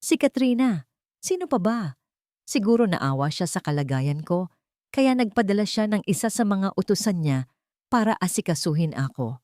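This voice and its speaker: A woman's voice: mid-range voice, very animated, moderate pace, warm tone, no echo, clean studio sound, lightly acted. A woman voicing a bitter young man in a romance story, cold, sarcastic and insistent. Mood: cold sarcasm.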